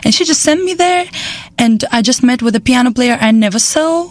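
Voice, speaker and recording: A young woman from Estonia speaking English with an Estonian accent, a jazz singer talking as a radio guest, pausing often to search for words and laughing often. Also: fairly high pitch, expressive, dry recording. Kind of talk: casual radio interview.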